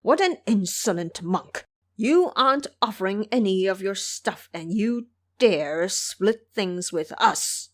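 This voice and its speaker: A woman's voice: medium pitch, expressive, takes it slowly. A woman voicing menacing, pompous male bandits who bluster and threaten in an audio drama. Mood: indignant.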